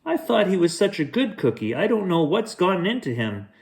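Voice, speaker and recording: A man voicing a worried, fussy baker who frets in a quick, raised voice. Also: sits high, big pitch swings, gravelly, a little mellow, slight background noise, voice pushed up, heavily acted. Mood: fretful. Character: fretful, flustered male baker.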